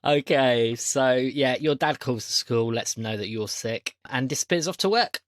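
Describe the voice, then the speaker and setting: A man's voice: medium pitch, moderate pace, no echo. Easygoing male game master, close dry sound. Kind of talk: casual tabletop game hosting.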